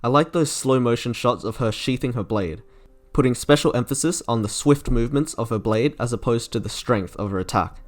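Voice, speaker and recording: A man's voice: mid-range voice, a little fast. A man reading a scripted video review as its narrator. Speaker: video essay host ranking game trailers.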